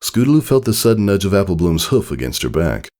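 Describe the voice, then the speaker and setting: A man reading a scripted story aloud as an expressive narrator. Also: low voice, fast. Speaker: fan fiction reader.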